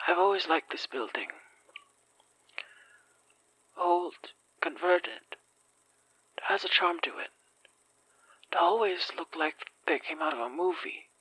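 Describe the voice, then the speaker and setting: A woman playing a shaken, hesitant fire survivor in an audio horror drama, hoarse and breathy, speaking haltingly and apologetically. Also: on the low side, a bit bright, no echo, exaggerated voice, rough recording. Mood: hesitant, weary.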